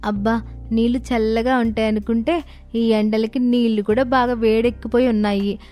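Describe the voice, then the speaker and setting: A woman's voice: a little high, flat delivery, normal speed, mellow, soft background music, natural voice. A woman voicing a plaintive young child in a cartoon moral story. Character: children, a boy and sisters, pleading in summer heat.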